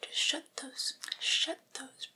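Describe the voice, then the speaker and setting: A woman playing a vampire's devoted, patient familiar in a whispered ASMR roleplay, speaking softly and breathily in a coaxing, chatty, gently teasing way. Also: takes it slowly, lightly acted. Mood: soothing.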